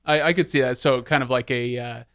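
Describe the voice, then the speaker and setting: A man's voice: mid-range voice, fairly even intonation, fairly quick, a bit bright, clean tone, no echo, clean studio sound. A man hosting a podcast in casual chat, joking and given to exaggeration. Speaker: podcast host.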